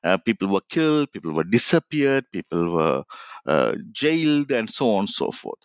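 A man, an author answering interview questions reflectively, with many pauses and restarts. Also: fairly low pitch, sing-song, clean tone, dry recording. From Sri Lanka. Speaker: author, guest. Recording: dull lo-fi dry sound.

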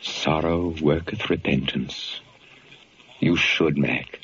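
Deep, solemn, fatherly sage. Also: fairly even intonation, fairly bright tone, breathy edge, heavily acted. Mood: exalted, grave. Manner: grave, measured, preacherly oratory. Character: solemn, saintly ambulance partner with timeless fatherly wisdom. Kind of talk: 1940s radio drama acting. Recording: muffled old-time radio recording, faint music.

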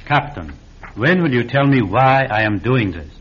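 An actor playing a hesitant, frail civilian with weak lungs who coughs, in a vintage radio drama. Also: male voice, mid-range voice, expressive, normal speed, a bit bright, dull audio, a little hiss or hum, natural voice. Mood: uneasy. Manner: hesitant, deferential. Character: nervous frail civilian trained as a spy. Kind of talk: 1940s radio acting.

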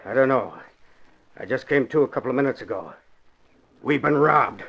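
An actor playing an excitable, emphatic swindler who is easily rattled, in 1940s radio drama style. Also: male voice, mid-range voice, moderate pace. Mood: urgent. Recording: dull vintage 1940s radio recording.

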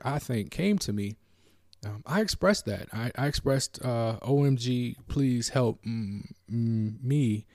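A man from the United States hosting a podcast, talking alone in a reflective, halting way with many fillers and restarts. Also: medium pitch, darkish tone, no echo, clean studio sound. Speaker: podcast host. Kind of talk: reflective solo talk.

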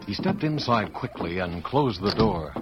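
A man's voice: on the low side, sing-song, unhurried, heavy background noise. A man narrating a western adventure in solemn, dramatic old-time radio style. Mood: dramatic, suspenseful. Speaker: announcer-narrator. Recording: dull old radio recording with music.

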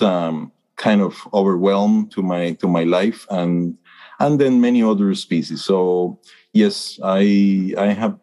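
A man's voice: fairly low pitch, mellow. A man in his fifties from Costa Rica, with a Costa Rican Spanish accent, speaking as a birding guide in an interview. His answers are earnest and hesitant, with many fillers and restarts. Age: 50s.

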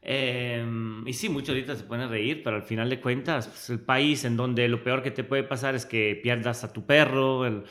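A man. His voice sits at an ordinary middle pitch. He talks in a reflective, storytelling way, often pausing to hunt for the right word as he looks back on his life. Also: steady tone, a bit bright. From Switzerland. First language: Italian. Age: early 30s. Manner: reflective, storytelling, searches for words. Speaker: guest. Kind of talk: conversational interview.

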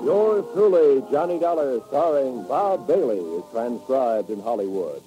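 A man's voice: mid-range voice, very animated, unhurried, muffled recording. A man reading the closing credits as announcer in a formal radio style. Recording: old radio broadcast with music.

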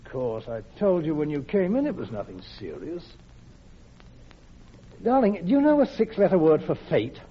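An actor playing a kindly, absent-minded husband in a classic radio drama, with a mild, distracted manner. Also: male voice, a little high, big pitch swings, normal speed. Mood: distracted, placid. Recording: dull, slightly noisy old radio recording.

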